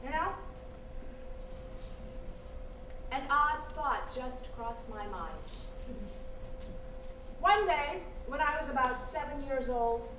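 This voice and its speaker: A high-pitched American woman delivers a wry, wistful monologue about her own life. She takes her time, with deliberate theatrical pauses. The recording is distant and roomy, with clear background noise. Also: animated. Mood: reflective. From United States. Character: Korean American adoptee woman recounting childhood, wry and wistful. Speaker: stage actress. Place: live stage performance in a venue.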